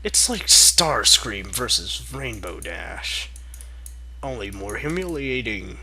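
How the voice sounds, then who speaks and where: A man's voice: fairly low pitch, very animated, slow, sharp tone. A man reading a fan story aloud, breaking off into mocking asides and bursts of laughter. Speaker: reader. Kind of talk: comedic fanfic reading.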